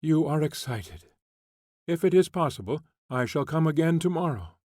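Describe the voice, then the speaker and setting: A man's voice: fairly high pitch, animated, moderate pace, a little mellow, no echo, studio quality, pitched above the performer's own voice. A man playing a remorseful pleading prince. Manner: earnest, pleading. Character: remorseful gentleman prince seeking atonement.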